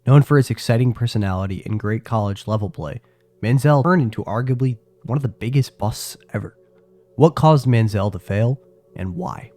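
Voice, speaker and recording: A man's voice: mid-range voice, moderate pace, a little mellow. A young man with a congested voice narrating a scripted sports video, with casual asides to his viewers. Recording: faint music behind voice.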